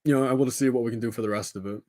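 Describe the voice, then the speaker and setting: A man's voice: mid-range voice, fairly even intonation, racing, darkish tone, no room sound, studio quality. Casual, rambling young man livestreaming. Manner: rambling, informal, peppered with dude and man. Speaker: live streamer hosting a building stream. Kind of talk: casual unscripted livestream chat with viewers.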